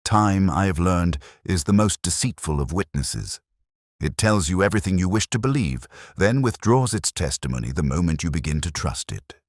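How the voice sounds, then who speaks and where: A man playing a melancholy, literary police detective, recounting a case in a measured, solemn, reflective way as scripted audio mystery narration. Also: low-pitched, lively intonation, no room sound, studio quality. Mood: brooding, reflective.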